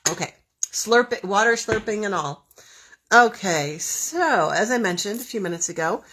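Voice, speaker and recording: A woman hosting a spiritual live stream, talking casually to viewers, slightly hoarse from a cold and repeating her questions with frequent ums. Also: medium pitch, expressive, moderate pace, a bit bright, slight background noise. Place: home, southern Arizona.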